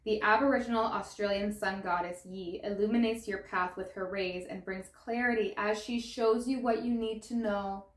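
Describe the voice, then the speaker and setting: A woman gives a tarot reading with a mid-pitched voice, bubbling over with excitement and exclamations. Her voice is wearing out and sounds strained in places. The room around her gives the sound a noticeable echo. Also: normal speed, hardly any pauses. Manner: excitable and gushing, exclaiming and laughing. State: losing her voice. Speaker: tarot reader. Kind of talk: pick-a-pile tarot reading.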